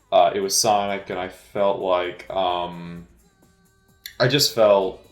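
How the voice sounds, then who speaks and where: A man giving casual, unscripted movie commentary as an amateur, speaking hesitantly with halting, trailing pauses. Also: low-pitched, roomy sound.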